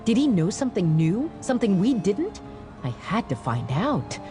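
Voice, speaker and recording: A woman narrating a dramatized audiobook in the first person, with wry, expressive storytelling. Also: mid-range voice, normal speed, soft background music.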